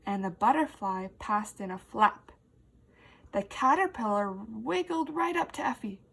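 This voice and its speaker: High, very animated woman teacher reading aloud. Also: a little slow, vocal fry, breathy edge. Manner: animated, emphatic, addressing young listeners directly. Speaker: teacher reading to young children. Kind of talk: expressive storybook read-aloud with questions to children.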